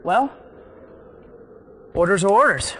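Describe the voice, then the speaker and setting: An actor playing an excitable, urgent soldier who exclaims in a game cutscene. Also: male voice, high voice, slow, full character voice. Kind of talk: dramatic video game cutscene acting. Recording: faint background noise.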